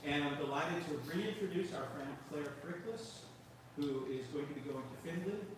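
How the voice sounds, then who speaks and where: A man with a big, expansive speaking style introduces young guests, his voice a bit higher than most men's. He sounds far from the mic in a very echoey hall with background noise. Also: normal speed. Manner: warm, expansive public speaker. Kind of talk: introducing a youth program. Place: large echoey meeting room.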